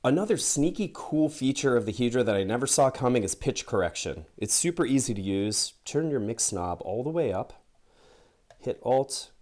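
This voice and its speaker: A man's voice: mid-range voice, lively intonation, moderate pace, darkish tone. A man giving a casual, informal and enthusiastic voice-over as a YouTuber reviewing gear. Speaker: YouTuber reviewing a guitar effects pedal. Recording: music playing behind the voice. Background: music.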